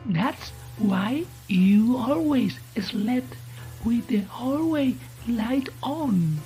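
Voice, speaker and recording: A woman voicing a menacing radio host who taunts in a slow, mock-polite way, in a horror story. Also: a little high, expressive, mellow, soft background music, voice pushed up, rough recording. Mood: taunting.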